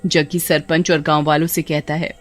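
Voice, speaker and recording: Casting: storyteller for animated horror tales, mid-range voice, scripted dramatic narration. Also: mid-range voice, moderate pace, faint music behind.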